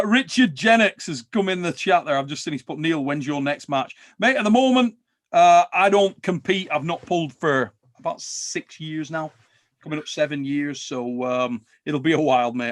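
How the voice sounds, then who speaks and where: A man hosting a casual live video-call chat, talking in a matey, drawn-out way. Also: medium pitch. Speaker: host.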